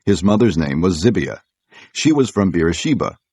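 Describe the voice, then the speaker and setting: A man reads scripture as a narrator, in a low, husky voice. The delivery is formal and measured, and the sound is dry and close. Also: sing-song, darkish tone, no echo.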